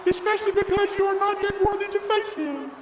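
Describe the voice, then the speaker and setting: A man's voice: very high-pitched, crowd noise. A man voicing an eerie, mocking ghostly mentor in a video game, speaking in a theatrical, ominous way. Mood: ominous scolding. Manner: theatrical, ominous, drawn-out phrasing. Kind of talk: video game cutscene acting. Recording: echoey, distant, noisy.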